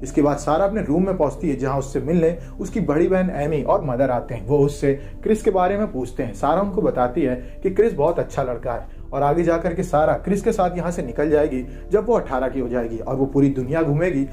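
A man delivers scripted story narration in a slightly high, mellow voice. Soft music plays behind him as he recaps a film. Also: lively intonation, brisk, hardly any pauses. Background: background music.

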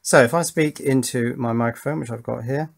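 A middle-aged man talks casually through a hands-on test at a workbench. His voice sits at a medium pitch. He thinks aloud in an informal, self-deprecating manner, as a hobbyist presenter would. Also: fairly quick, gravelly. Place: indoors at an electronics workbench.

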